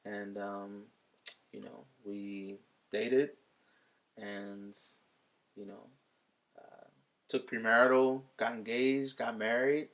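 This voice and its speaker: Laid-back man with a bright voice. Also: fairly low pitch, fairly even intonation, slow, vocal fry, long pauses, low-quality recording. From Philadelphia area. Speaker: guest. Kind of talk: casual interview chat.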